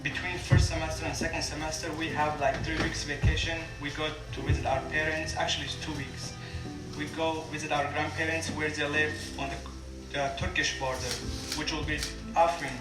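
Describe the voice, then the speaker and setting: A young man from Syria with a Kurdish accent speaks in English, his second-language phrasing plain and halting, full of small restarts. His voice is a touch higher than most men's. He sounds far from the mic in an echoey room, with loud music over him. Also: little pitch movement. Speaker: refugee guest speaker. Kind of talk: personal story told to an audience. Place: large room at a community lunch.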